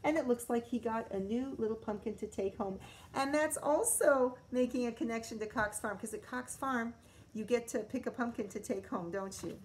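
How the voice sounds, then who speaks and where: A woman's voice: on the high side, moderate pace, slight background noise. A middle-aged American woman, a schoolteacher, reading a picture book aloud to young children and explaining it expressively as she goes. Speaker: elementary school teacher.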